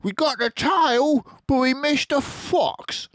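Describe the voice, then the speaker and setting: A man voicing an impatient, commanding male farmer in a storybook, with a loud, insistent delivery. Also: very high voice, slow, no room sound, studio quality, pitched above the performer's own voice, full character voice. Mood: frustrated. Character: Bean, scheming cider-swigging farmer, male, impatient and commanding.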